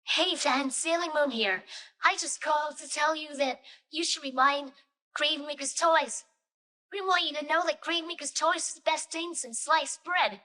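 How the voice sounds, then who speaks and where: A bubbly anime heroine acted by a man through an AI voice changer delivers a peppy toy advert. The voice is high, girlish and sharply bright. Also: normal speed. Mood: peppy sales pitch. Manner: peppy, salesy. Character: bubbly anime schoolgirl heroine pitching toys.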